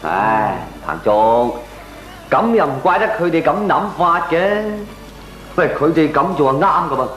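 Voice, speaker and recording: A man's voice: on the high side, animated, a little slow, fairly bright tone, soft background music. A man playing a reasonable friend in a comedic radio drama, talking conversationally and explaining patiently with a Hong Kong Cantonese accent. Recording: roomy, echoing radio drama recording.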